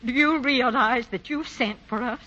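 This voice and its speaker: A woman's voice: on the high side, moderate pace, bright, forceful tone. An actress playing a strong, loving, easily exasperated older mother in a 1940s radio drama, plain-spoken and emphatic. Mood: exasperated, scolding. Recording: muffled 1940 radio recording, music behind.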